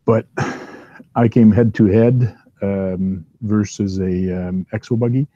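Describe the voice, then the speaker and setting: A man's voice: lowish voice, steady tone, takes it slowly, dark tone, no room sound, muffled recording. A man with a big voice hosting a casual chat while working at a hobby bench at home, rambling with lots of ums and uhs. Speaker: host. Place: downstairs workbench at home.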